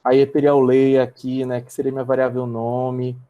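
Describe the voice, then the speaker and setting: A man's voice: medium pitch, completely flat delivery, normal speed, dull audio. A Brazilian man teaching a programming class online, explaining step by step and checking in with his students often. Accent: Brazilian Portuguese. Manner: repeats and restarts words while typing. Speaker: programming instructor. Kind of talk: live online coding lesson.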